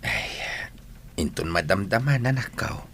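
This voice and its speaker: A man's voice: deep voice, wildly swooping pitch, measured pace, gravelly, fairly bright tone, a little hiss or hum, full character voice. A man with a Filipino accent playing a grateful, devout elderly father in an audio drama. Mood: weary, grateful.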